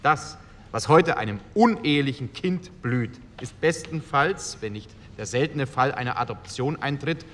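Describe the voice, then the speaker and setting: A man's voice: medium pitch, fairly bright tone, close to an everyday voice. Man acting a combative parliamentarian in an echoing hall. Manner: argumentative, emphatic. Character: combative, blunt communist parliamentarian in post-war Germany. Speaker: actor in a documentary radio play. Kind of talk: re-enacted parliamentary debate speech. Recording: echoey hall with background noise.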